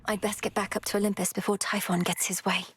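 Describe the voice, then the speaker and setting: An actress voicing a poised, determined young mythic heroine in a video game, with measured, earnest, formal diction. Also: female voice, mid-range voice, flat delivery, fairly bright tone, breathy edge.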